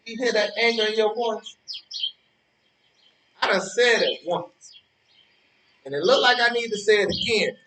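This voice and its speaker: Male, high lively voice, acted smug needling rival, boastful, repetitive emphasis, stage play, distant roomy recording. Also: unhurried, pauses often, a little hiss or hum. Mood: self-satisfied, preachy. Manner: taunting, repeats phrases for emphasis, colloquial. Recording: roomy, echoey, distant from microphone.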